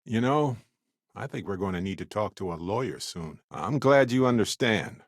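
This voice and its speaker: Low-voiced wry space explorer. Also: male voice, animated, normal speed, no echo, clean studio sound. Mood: earnest, tender. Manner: playful, wry, conversational asides. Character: wry, warm-hearted adventurous male space explorer companion. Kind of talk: video game companion voice lines.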